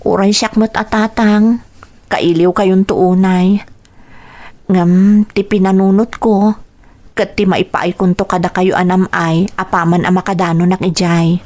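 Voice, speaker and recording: A woman's voice: fairly low pitch, level tone, a little slow, a little mellow, lightly acted. A woman with an Ilocano accent playing a devoted, hopeful grown daughter in a radio drama. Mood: earnest, hopeful.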